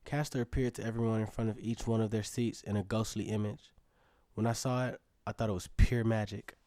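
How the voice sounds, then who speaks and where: A man's voice: fairly low pitch, steady tone, fairly quick, warm tone, dry recording, clean studio sound, close to an everyday voice. A man playing a curious, adventurous young storyteller, narrating a scripted audio drama in the first person. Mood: intrigued, musing.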